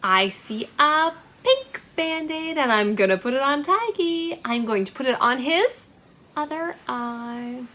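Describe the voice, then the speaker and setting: A woman hosting a storytime for young children, speaking in a deliberate, singsong way. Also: high-pitched, expressive, bright, forceful tone. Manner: singsong, deliberate, repetitive phrasing for small children. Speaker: children's library storytime host. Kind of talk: storytime read-aloud and simple activity for young children.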